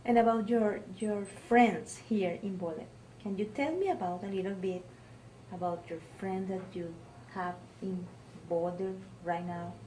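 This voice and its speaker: A woman interviewer asking questions in a halting way, often rephrasing them. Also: medium pitch, steady tone, warm tone, low-quality recording. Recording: faint background noise, room ambience.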